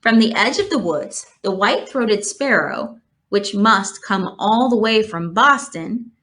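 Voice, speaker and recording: A woman reads a children's novel aloud. Her voice sits at a medium pitch, and she tells the story in a measured, expressive way. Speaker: storybook reader.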